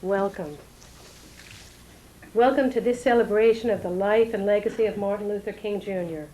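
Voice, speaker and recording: A woman giving a formal prepared welcome address at a synagogue event, measured and solemn. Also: medium pitch, warm tone, low-quality recording. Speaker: rabbinic intern giving a welcome. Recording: old analog tape.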